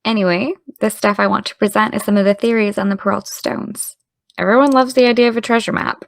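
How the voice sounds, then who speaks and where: A woman's voice: medium pitch, fairly even intonation, fast talker, creaky, close to an everyday voice. Studious, wry teenage girl played by a woman. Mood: matter-of-fact. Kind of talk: naturalistic audio drama acting.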